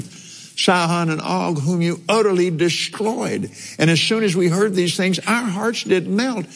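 A man preaching in a folksy, unhurried way, chuckling through asides and reading scripture aloud. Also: on the high side, gravelly, big-room sound.

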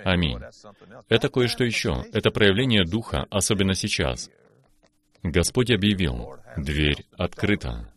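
Very deep-voiced preaching man. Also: a little slow. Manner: affirming, steady, frequent short exclamations of praise. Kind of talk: preaching conversation.

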